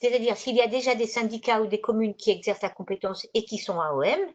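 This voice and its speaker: A woman's voice: a little high, fast talker. Woman trainer on a dull video call. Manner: didactic, conversational, rephrases as she goes. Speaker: trainer presenting an online training session. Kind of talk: informal slide presentation, explanatory. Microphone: video conference microphone.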